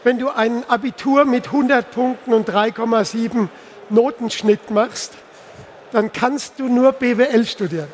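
A man's voice: very high voice, a little slow. A man in his sixties from the Palatinate in Germany, talking casually as an interview guest on stage. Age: 60s. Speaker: interview guest, insurance executive. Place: live stage at a trade fair. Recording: faint background noise, live event.

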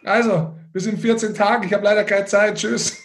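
A man's voice: sits high, fairly even intonation, normal speed, faint music behind. A man hosting a sports podcast, chatting casually with a teasing, sarcastic manner. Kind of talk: casual bantering podcast chat.

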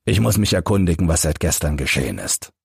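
A man voicing a calm, authoritative, knowing gentleman detective in an audiobook. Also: extremely low pitch, quick pace, creaky, slightly rough, no room sound, clean studio sound, heavily acted. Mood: measured, grave. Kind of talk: audiobook character reading.

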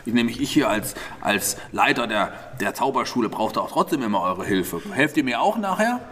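Lively, encouraging man in an echoey hall. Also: medium pitch, normal speed. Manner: encouraging, echoes back the children's answers. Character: cheerful head of a wizard school. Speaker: host playing head of a magic school. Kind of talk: improvised interview with children in an audio play. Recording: echoey hall, faint background noise.

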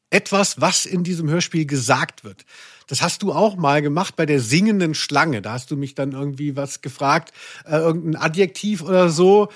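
Man, fairly high pitch, playful, casual podcast chat, very dry recording. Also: no room sound. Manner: playful, tongue-in-cheek. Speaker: podcast host and quizmaster. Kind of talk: casual chatty podcast talk.

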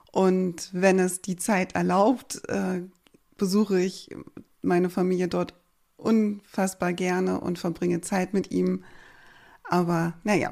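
A woman in her forties from Germany, a podcast host calmly introducing herself with fillers and repeated words. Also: fairly low pitch, gravelly. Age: 40s. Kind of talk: personal introduction monologue.

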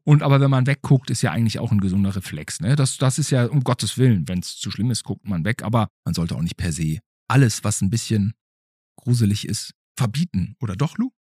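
A man's voice: on the low side, animated, brisk, mellow, breathy edge, dry recording, clean studio sound. A man hosting a casual podcast in a playful, conversational way, often adding questioning tags. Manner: playful, hesitant phrasing with rhetorical tag questions. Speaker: podcast host.

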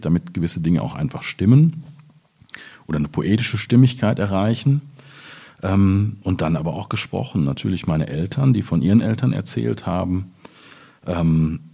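A man in middle age from the Ruhr area in Germany, speaking as a poet in a reflective literary talk, thoughtful and halting as he searches for words. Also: sits low, gravelly, dark tone, slightly rough. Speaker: poet discussing his work.

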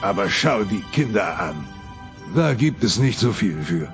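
A man voicing a scheming cat-man father in a comedy sketch, speaking in a dry, gravelly deadpan. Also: lowish voice, a little slow, soft background music, exaggerated voice. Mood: matter-of-fact.

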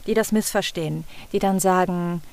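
A woman from the Rhineland, a pronunciation content creator, telling stories in a lively, expressive way as a guest in a casual interview. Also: lowish voice, moderate pace, vocal fry. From Rhineland, Germany.

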